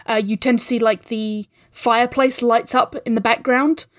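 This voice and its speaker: A woman guest on a podcast, casually analysing film in a thoughtful, halting way as she feels for her words. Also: a little high, steady tone, no echo, clean studio sound.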